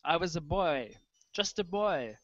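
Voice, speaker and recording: A man in his thirties giving a measured, poetic literary reading. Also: on the high side, expressive. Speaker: writer reading his own fiction. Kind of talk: literary reading over a live soundscape. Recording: dull lo-fi web stream audio. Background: music.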